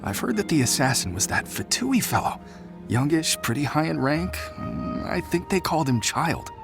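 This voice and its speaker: Gossipy male townsperson for a game: lightish pitch, knowing, conspiratorial, over music. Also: sing-song, normal speed, creaky. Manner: chatty, opinionated. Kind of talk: video game voice acting. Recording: prominent background music.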